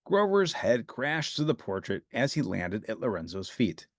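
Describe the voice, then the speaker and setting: An expressive storybook narrator reads aloud for children. The pitch moves up and down a great deal, and the recording is dry and close. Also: normal speed, no room sound.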